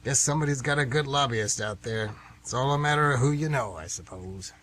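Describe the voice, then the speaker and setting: A man's voice: medium pitch, brisk, a bit bright, slight background noise, lightly acted. An actor playing a folksy, grumbling retired man who rambles and gets defensive, in a radio drama. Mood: grumbling, chatty. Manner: rambling, folksy, plain-spoken.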